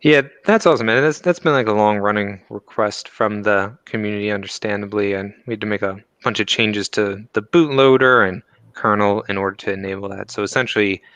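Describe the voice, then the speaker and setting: A man with a bright, forceful voice hosts a live tech stream in a casual, chatty way. His voice is fairly low. The sound is dry and close. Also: fairly quick, clear, resonant tone, dry recording. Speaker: host.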